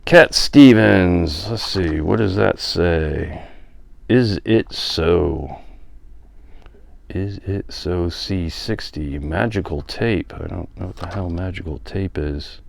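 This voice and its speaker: Low, gravelly middle-aged man, casual. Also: slow, dry recording. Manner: offhand, muttered asides to himself. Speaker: vlogger showing a music collection. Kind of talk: casual unscripted show-and-tell commentary.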